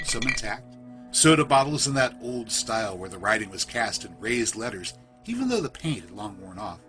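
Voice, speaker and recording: A man narrates a creepy tale in a bright, clear-cut voice that rises and falls with a lot of expression. His delivery is literary and suspenseful, like a scripted horror podcast, with faint music behind him. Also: medium pitch. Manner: literary, measured, suspenseful storytelling. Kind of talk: scripted first-person horror story narration.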